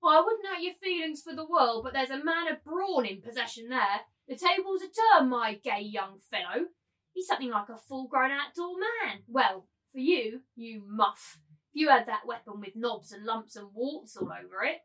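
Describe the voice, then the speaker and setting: A woman's voice: sits high, expressive, normal speed, bright, forceful tone, low-quality recording. A woman playing a cruel, domineering brothel keeper and auctioneer in a dramatised literary reading, commanding, taunting and theatrical. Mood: scornful, ruthless.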